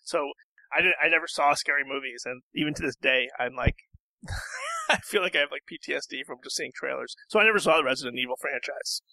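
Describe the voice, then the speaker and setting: A man chatting casually as a podcast guest in an animated, self-deprecating way. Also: fairly high pitch, fairly quick, gravelly, no room sound, rough recording. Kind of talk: casual chat.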